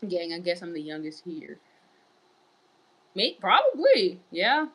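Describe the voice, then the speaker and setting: A woman's voice: lowish voice, huge pitch swings, takes it slowly, fairly bright tone, slightly rough. A woman streaming live in casual chat, reading viewer messages aloud and answering them. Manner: wearing large headphones. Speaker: livestreamer.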